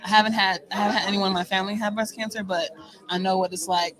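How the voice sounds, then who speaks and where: A woman's voice: mid-range voice, fairly even intonation, quick pace, crowd noise, poor audio. A woman who sings background vocals, giving casual answers in an interview.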